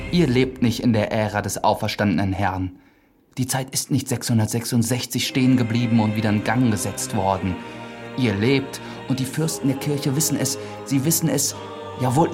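An actor playing an earnest, defiant priest in a German radio drama, with a solemn rhetorical delivery. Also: male voice, mid-range voice, lightly acted. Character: earnest young priest, defiant preacher of forbidden truth. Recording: studio drama with prominent music behind.

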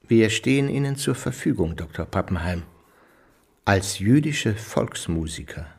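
A man acting a bitter, proud, sardonic musical maestro in a radio play, with a husky, declamatory delivery. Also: on the low side, animated, unhurried, a little mellow, exaggerated voice.

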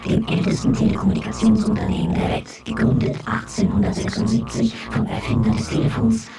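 High, creaky male announcer, distant. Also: little pitch movement, a little fast. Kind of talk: dramatic documentary announcement. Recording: roomy, distant, over loud music.

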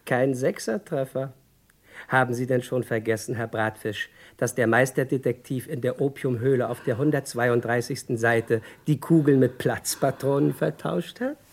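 A man's voice: mid-range voice, moderate pace, close to an everyday voice. A male actor plays a smug, needling neighbour who loves to give away endings. He gloats and draws out his teasing in the theatrical manner of a 1960s radio comedy thriller. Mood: triumphant, teasing.

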